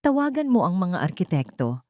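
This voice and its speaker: Story role: resolute Filipino wife turned executive, serene, low-voiced, read by a female narrator. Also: very animated, a little fast, darkish tone, dry recording, clean studio sound. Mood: calm resolve. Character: wronged wife reborn as resolute, serene businesswoman.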